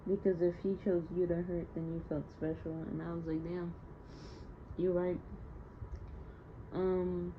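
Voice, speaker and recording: A young woman with a slightly low voice talks as if to a private diary. She rambles casually and candidly in slangy phrases, sounding wounded and downcast. The recording is a bit muffled and lo-fi, with faint noise behind it. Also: flat delivery, a little slow, long pauses. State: emotionally hurt, downcast. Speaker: audio diarist. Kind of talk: casual confessional audio diary.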